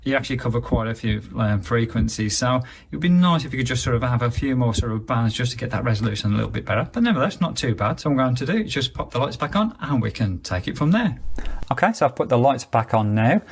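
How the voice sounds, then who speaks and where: A man talks through a hands-on product review in an understated, chatty way. His mid-pitched voice has a noticeable creak, and there is faint noise behind him. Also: lively intonation, quick pace. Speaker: radio gadget reviewer.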